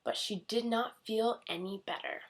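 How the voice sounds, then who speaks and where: A woman reading a children's storybook aloud in an expressive scripted narration style. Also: on the high side, moderate pace. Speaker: storybook reader.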